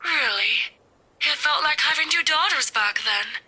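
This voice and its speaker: A woman acting as a warm, wistful middle-aged mother in a video game, affectionate and gently coaxing. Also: high-pitched, expressive, a little slow, full character voice. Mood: fond, wistful.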